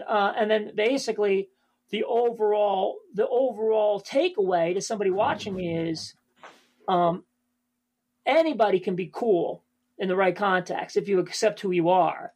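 A male stand-up comedian with a high voice, chatting casually as a podcast guest, rambling with many fillers and restarts. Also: normal speed.

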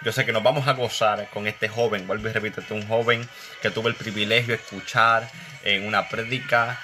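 A man's voice: fairly low pitch, steady tone, a little fast, over music. Fervent man hosting a church live stream. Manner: fervent, greets viewers by name with blessings. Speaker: host of an online live interview.

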